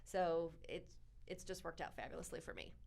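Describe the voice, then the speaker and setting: A middle-aged woman speaks in a slightly low voice, upbeat and clear as she explains things to an interviewer. She talks as an experienced professional who likes breaking details down. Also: normal speed, darkish tone. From born in South Africa, raised in Toronto and Arizona. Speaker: title company representative, guest.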